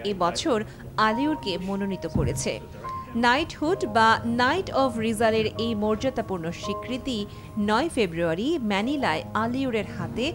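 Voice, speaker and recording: A woman with a Bangladeshi accent reading a scripted documentary narration over music. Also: fairly high pitch. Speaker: programme narrator. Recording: clear music bed behind voice. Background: background music.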